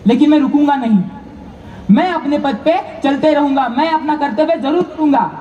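A man playing a beaten but dutiful doctor in a stage drama, pleading in loud, declamatory, repetitive phrases. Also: very high voice, normal speed, highs cut off. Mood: resolute. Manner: declamatory, repeats phrases for emphasis. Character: beaten, anguished yet dutiful male doctor, pleading to society. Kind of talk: loud theatrical stage monologue. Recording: echoey hall from far off, noisy.

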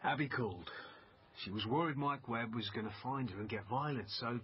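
A man's voice: mid-range voice, a little fast, natural voice. A man playing a defensive, insistent retired detective in a naturalistic radio drama. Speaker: actor in radio crime drama. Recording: slightly muffled broadcast, faint noise.

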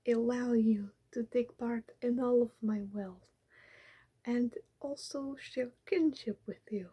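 A woman's voice: a little high, breathy edge, full character voice. A young woman in an ASMR roleplay, whispering as a scheming female vampire in silly disguises who pleads in broken, formal English. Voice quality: soft whisper. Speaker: ASMR creator. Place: small bedroom with pink backdrop. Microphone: close webcam framing.

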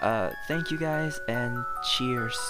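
A man's voice: mid-range voice, takes it slowly, loud music behind the voice. Male voice actor, channel host, casual announcement, addressing viewers.

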